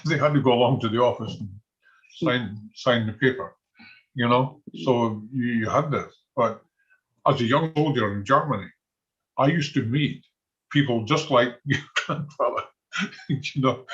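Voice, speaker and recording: Halting older Scottish man, dull audio. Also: mid-range voice, fairly bright tone. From Scotland. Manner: halting, conversational, chuckles often. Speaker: military historian and former soldier. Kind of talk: informal talk on military history.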